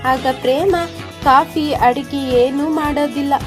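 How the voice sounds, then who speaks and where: High-voiced woman storyteller, unhurried, over music. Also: fairly even intonation. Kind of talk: scripted moral story narration. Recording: music behind the voice.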